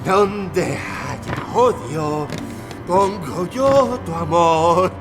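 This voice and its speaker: An actor playing a deranged, soft-spoken serial killer with fanatical piety and eerie, deliberate devotion in a true-crime audio drama. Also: male voice, high-pitched, expressive, over music, full character voice. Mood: eerie fervour.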